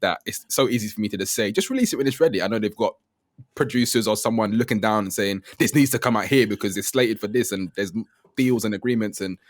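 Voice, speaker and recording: Man with a low, dry voice. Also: fast, no room sound. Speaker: podcast co-host. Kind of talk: casual chat.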